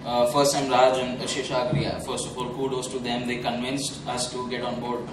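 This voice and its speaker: Flat-voiced man in a noisy echoey hall. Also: mid-range voice, normal speed. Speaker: sponsor brand representative. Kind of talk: short public speech. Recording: echoey hall, distant mic, steady noise.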